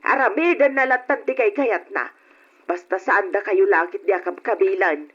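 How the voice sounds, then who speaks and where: A woman playing a caring old grandmother in a radio drama, fretting in a measured, motherly way. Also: mid-range voice, slightly rough, lightly acted. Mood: concerned. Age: older. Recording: dull, noisy old radio recording.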